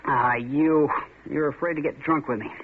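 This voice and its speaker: A man's voice: on the high side, fairly even intonation, moderate pace, close to an everyday voice. An actor playing a taunting, swaggering young man in a 1940s radio drama, needling his friend as a coward. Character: taunting young male tough, Red. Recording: tinny lo-fi old radio broadcast with faint hiss.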